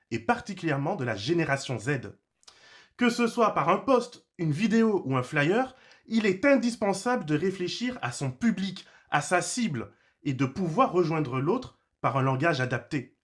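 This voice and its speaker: A man formally introducing a speaker at a church webinar over a video call. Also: high voice. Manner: formal, measured. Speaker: church communication organizer. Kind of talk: read introduction.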